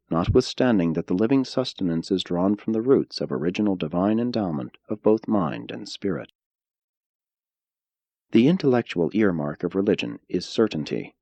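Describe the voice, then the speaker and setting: Deep-voiced man reading an audiobook, expressive intonation. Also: creaky, no room sound. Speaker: audiobook narrator. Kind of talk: scripted audiobook narration.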